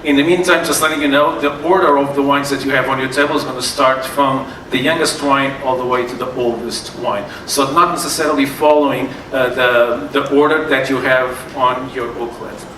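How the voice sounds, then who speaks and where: A man's voice: medium pitch, steady tone, a bit bright. Portuguese-accented man lecturing in an echoey hall. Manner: halting, many fillers and restarts. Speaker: sommelier giving a wine seminar. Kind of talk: live seminar presentation. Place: event hall with tasting tables. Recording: echoey room, far from mic, noisy.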